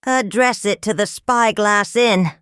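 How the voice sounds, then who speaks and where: A woman's voice: medium pitch, slow, a bit bright, clean tone, no echo, clean studio sound, voice pushed up. A woman voicing a mean, selfish male pirate captain who brags confidently in a children's story. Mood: boastful. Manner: bragging, confident.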